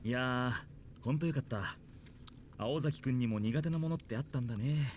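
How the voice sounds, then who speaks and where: A man's voice: mid-range voice, fast talker, a little hiss or hum, close to an everyday voice. An actor playing a mild, jokey, nervous male schoolteacher in a Japanese visual novel. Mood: relieved.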